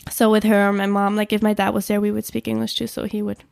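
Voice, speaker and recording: A woman's voice: mid-range voice, monotone, fast talker, vocal fry, a little mellow, no room sound, studio quality. A young woman from German-speaking Switzerland, whose first language is Swiss German, co-hosting a podcast in casual, chatty talk with frequent laughs. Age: young adult. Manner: chatty, laughs while talking, many fillers. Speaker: podcast co-host. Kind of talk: casual chat.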